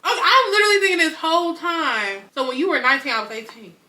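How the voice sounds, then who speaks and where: A woman's voice: high-pitched, fast. A woman in her twenties hosting a podcast, talking earnestly and animatedly about faith and life, often checking if she's understood. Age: 20s. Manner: earnest, preachy, animated, often asks "you get what I'm saying?". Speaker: podcast host. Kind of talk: casual faith and life chat. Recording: roomy echo.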